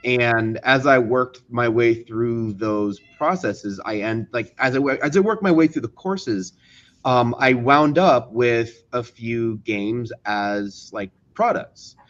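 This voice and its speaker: A man's voice: mid-range voice, takes it slowly, dull audio. A man speaking as an interview guest, chatting casually and telling stories. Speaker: interview guest, game developer. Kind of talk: casual chat and storytelling.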